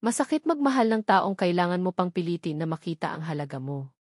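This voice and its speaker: A woman's voice: lowish voice, sing-song, fast, a little mellow, no echo, studio quality. A woman voicing a weary, wounded husband who speaks in a tired, emotionless way in an audio drama. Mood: weary hurt.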